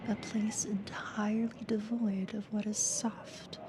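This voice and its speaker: A woman playing a calm, quietly controlling therapist in a slow, breathy, whispered ASMR hypnosis roleplay, soothing yet ominous. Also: medium pitch, noisy background. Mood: hushed, eerie.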